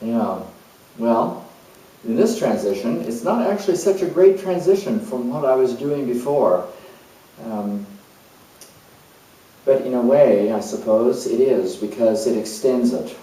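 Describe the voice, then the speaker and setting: An actor playing a calm, spiritual hospice coordinator who speaks in an earnest, searching way with many pauses. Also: male voice, medium pitch, close to an everyday voice. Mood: reflective. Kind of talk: dramatized monologue. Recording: very echoey room, mic far off.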